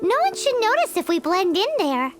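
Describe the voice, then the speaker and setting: Game fairy companion: very high childlike voice, cheeky, animated, over loud music. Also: female voice, normal speed, full character voice. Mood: curious. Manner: refers to self in third person, exclamatory. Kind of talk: cartoonish video game acting.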